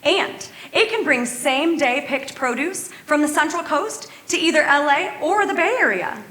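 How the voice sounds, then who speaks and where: Brief: actress as a harried festival organizer, high bright voice, halting, roomy live stage. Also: female voice, expressive. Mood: earnest. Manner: flustered, hesitant with many fillers. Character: harried woman running a food festival. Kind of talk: staged reading of a musical. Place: theater stage.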